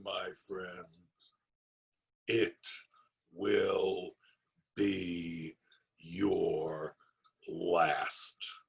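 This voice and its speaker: A man voicing a terrifying goblin king for a storybook, speaking in slow, drawn-out, ominous phrases. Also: low-pitched, gravelly, darkish tone, breathy edge, pitched below the performer's own voice, full character voice. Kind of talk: storybook read-aloud villain.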